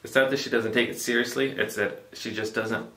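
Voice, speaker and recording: A man in his twenties from Mexico, co-hosting a casual vlog chat, relaxed and full of fillers. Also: mid-range voice, fairly quick, roomy sound, distant mic. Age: 20s. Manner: relaxed, filler-heavy, joking. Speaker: vlogger co-host.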